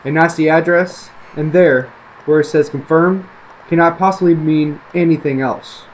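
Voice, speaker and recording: Man as exasperated young dad, higher-pitched voice. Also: fairly even intonation, normal speed, dull audio, slight background noise, natural voice. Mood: insistent, annoyed. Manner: muttering, exasperated. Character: frustrated young father on a ruined family vacation.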